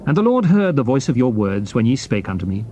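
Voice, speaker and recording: A man giving a solemn, dramatized reading of King James scripture, declaiming it in deliberate phrases. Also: medium pitch, very animated, dark tone. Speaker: scripture reader. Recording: noisy old cassette tape recording.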